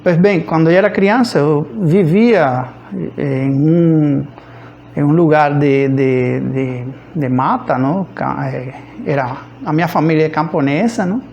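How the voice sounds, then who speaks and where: A man's voice: on the high side, a little slow, gravelly, a little mellow, muffled recording, slight background noise. A man of about fifty from rural Cuba with a Cuban accent, a native Spanish speaker, telling his life story informally and hesitantly, searching for words. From rural central Cuba. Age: around 50. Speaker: physics professor. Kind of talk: informal autobiographical account.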